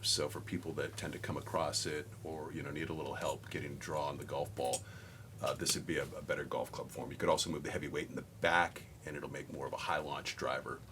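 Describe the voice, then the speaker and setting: Man, very low bass voice, casual technical explanation, noisy background. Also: level tone, quick pace. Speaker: golf brand representative. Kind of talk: casual product talk. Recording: noticeable background noise.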